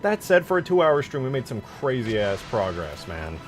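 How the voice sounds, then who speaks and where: A man's voice: medium pitch, very animated, moderate pace, faint music behind. A man streaming his game modding work, talking informally and thinking aloud. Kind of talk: casual livestream chat.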